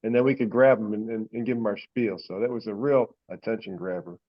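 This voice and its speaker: A man's voice: fairly low pitch, steady tone, fairly quick. Folksy man on a dull conference line. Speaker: presenter on a hobbyist teleconference. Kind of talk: informal slide update in an online meeting. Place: online teleconference. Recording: dull, lo-fi conference call audio.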